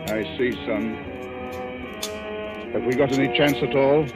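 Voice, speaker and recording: An actor playing an older gentleman in charge, fatherly but testy, in a 1940s radio drama. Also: mid-range voice, fairly even intonation, a little slow, lightly acted. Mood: sober, questioning. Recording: old radio recording with music behind.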